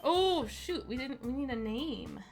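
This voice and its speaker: A woman's voice: sits high, very animated, unhurried, creaky, music in the background. A woman hosting a livestream in a casual, chatty style. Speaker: streamer, host.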